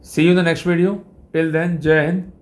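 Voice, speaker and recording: Indian guy teaching law, voice a bit on the high side, very formal n wordy, lecture style. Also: little pitch movement, moderate pace, slight background noise. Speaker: legal educator and host. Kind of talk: legal lecture.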